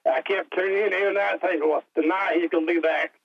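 A man's voice: high voice, steady tone, fast, gravelly, a crowd in the background. A man calling in to a radio show, chatting in a friendly, plain-spoken way. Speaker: caller. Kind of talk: phone-in caller chat. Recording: muffled broadcast audio.

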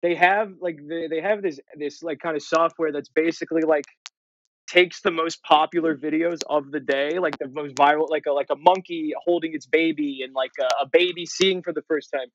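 A man's voice: a little high, fairly even intonation, normal speed, lo-fi sound, a little hiss or hum. A young man from Ohio, a comedian guest telling stories in casual podcast chat with lots of fillers and swearing. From Ohio, USA. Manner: rambling, many fillers, swearing.

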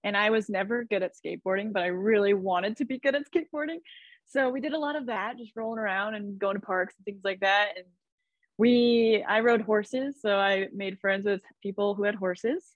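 A woman's voice: mid-range voice, fairly even intonation, normal speed, no echo. Chatty upbeat woman on dull remote audio. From Idaho, USA. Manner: chatty, upbeat, laughs often. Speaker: podcast guest. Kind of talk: casual conversation.

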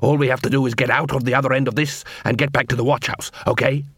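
A man reads a practical dwarf city watchman in an audiobook, at a middle pitch. He is nervy, urgent and plain-spoken, recorded dry. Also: flat delivery, quick pace, fairly bright tone, slightly rough, no room sound. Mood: relieved.

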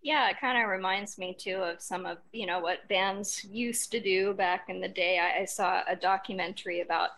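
A woman's voice: mid-range voice, steady tone, normal speed, few pauses, fairly bright tone. A woman hosting an interview, speaking in a casual, conversational way. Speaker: host. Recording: clear background noise.

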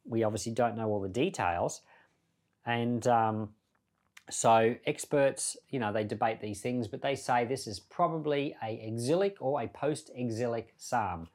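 A man's voice: medium pitch, a little slow, darkish tone. A man speaking as a pastor, reading and explaining scripture in an earnest, conversational, explanatory way. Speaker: pastor and Bible podcast host. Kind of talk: scripture reading and informal Bible commentary.

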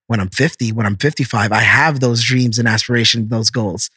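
A man's voice: medium pitch, steady tone, fairly quick, bright, forceful tone, slightly rough, no room sound. A man in his thirties, a chef telling earnest, proud stories in a casual interview. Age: 30s. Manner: earnest storyteller, proud, repeats words.

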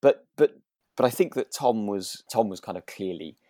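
A man's voice: lowish voice, animated, moderate pace, mellow, no room sound, clean studio sound. A British man co-hosting a podcast, chatting casually and ramblingly, often rephrasing as he goes. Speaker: podcast co-host. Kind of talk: casual chat about a radio drama.

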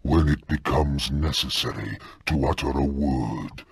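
A man playing a sinister, solemn occult narrator in a dramatic horror intro, slow and ominous with a gravelly rasp. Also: extremely low pitch, no room sound, heavily acted. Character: sinister, solemn occult male narrator proclaiming a bloody ritual.

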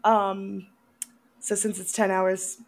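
A woman's voice: medium pitch, little pitch movement, slow. A woman co-hosting a casual film review chat, often breaking into giggles as she talks. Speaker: podcast co-host.

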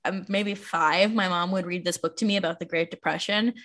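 A woman's voice: on the low side, steady tone, a little fast, a bit bright. An American woman from Washington, D.C., chatting casually as a podcast host and librarian, with rambling, self-deprecating asides. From Washington, D.C., United States. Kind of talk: casual chat.

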